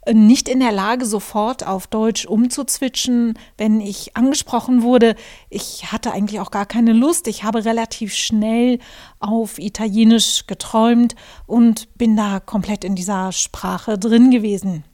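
A woman's voice: fairly high pitch, steady tone, slow, warm tone. A German woman hosting her own podcast, talking through her thoughts in a reflective monologue with many fillers and restarts. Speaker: podcast host, journalist and author.

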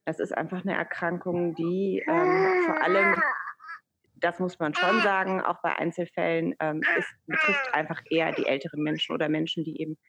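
A woman in her thirties, born in Iran, talking as a guest over a video call, thoughtful and hesitant with many pauses. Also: fairly low pitch, big pitch swings, very noisy. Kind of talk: casual interview conversation.

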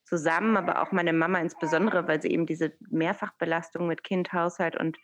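A woman in her thirties, born in Iran, talking as a guest over a video call, thoughtful and hesitant with many pauses. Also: fairly low pitch, steady tone. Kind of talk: casual interview conversation.

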